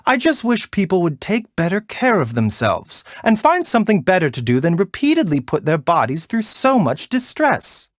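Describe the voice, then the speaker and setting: A man voicing a gentle, refined video game doctor who is polite, soft-spoken, caring and a little wry. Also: high-pitched, huge pitch swings, no room sound, clean studio sound. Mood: gently admonishing. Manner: measured, soft-spoken, polite, lightly teasing. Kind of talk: video game character voice lines.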